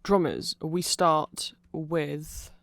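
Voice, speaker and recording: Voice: medium pitch, steady tone, drawn-out words, vocal fry, a bit bright, no echo. A person of unclear sex giving a rambling ASMR explanation of fan lore, often getting flustered, trailing off and correcting themselves with amused enthusiasm.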